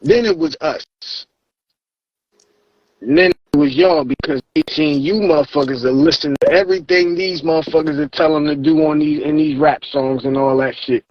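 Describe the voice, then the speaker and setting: A man's voice: a little high, normal speed, dry recording, lo-fi sound. A man in his thirties, hosting a casual podcast chat, repeating words as he builds his point. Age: 30s. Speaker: podcast host.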